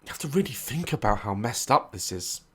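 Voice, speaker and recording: Hoarse, jokey man hosting reactions. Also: medium pitch, very animated, fairly quick. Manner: jokey, irreverent, swearing. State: hoarse, recovering from a sore throat. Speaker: reaction video host. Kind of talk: casual reaction commentary.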